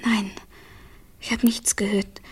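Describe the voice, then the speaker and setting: An actress playing a newly widowed, distressed but composed younger wife in a German radio crime drama, halting and restrained. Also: female voice, mid-range voice, flat delivery, husky. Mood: guarded, subdued.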